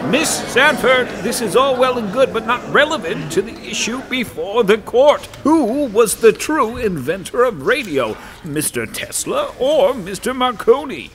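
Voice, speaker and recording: An actor playing an impatient judge in a courtroom audio drama, formal and admonishing. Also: male voice, extremely high pitch, sing-song, measured pace, fairly bright tone, exaggerated voice. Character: impatient male judge keeping order in court.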